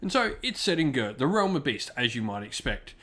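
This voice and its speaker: A man's voice: mid-range voice, sing-song, a little fast, pushed and bright. A man hosting an informal book review in a conversational, explanatory way, leaning on key words. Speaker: book reviewer and channel host.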